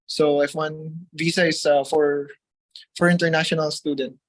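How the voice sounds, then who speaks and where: A man's voice: a little high, little pitch movement, normal speed, dry recording. A man from the Philippines with a Filipino accent and Tagalog as his native language, speaking politely as a guest in a testimonial interview.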